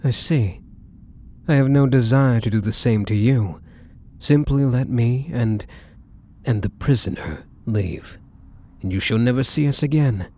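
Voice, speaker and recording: A man playing a defiant, protective vampire in an ASMR roleplay, with husky, breathy delivery and theatrical, formal phrasing. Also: medium pitch, a little slow, warm tone. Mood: cold, guarded. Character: defiant, protective young male vampire, bitter and menacing. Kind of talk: ASMR audio roleplay acting.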